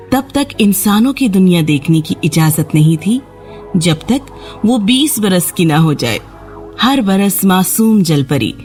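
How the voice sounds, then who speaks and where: A woman's voice: fairly low pitch, darkish tone. A woman storyteller reading a scripted fairy tale narration for children. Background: background music.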